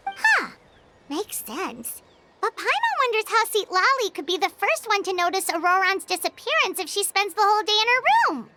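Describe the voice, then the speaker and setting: A woman voicing a small, chirpy, opinionated female fairy companion in a video game, with a squeaky childlike voice. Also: big pitch swings, moderate pace, background noise. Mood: curious, puzzled. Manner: refers to herself in third person, exclamatory.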